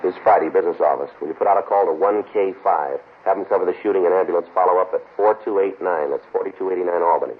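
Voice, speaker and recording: A man in a 1950s radio drama playing a terse police detective who narrates in a flat, matter-of-fact way. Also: sits low, racing, few pauses, natural voice. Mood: patient. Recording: tinny lo-fi old radio broadcast with hiss.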